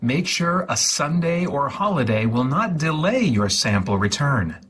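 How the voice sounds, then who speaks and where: A man reading an instruction leaflet aloud in a deliberate, deadpan way, drawing out and repeating some words. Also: medium pitch, slightly rough. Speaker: host of a gaming livestream channel.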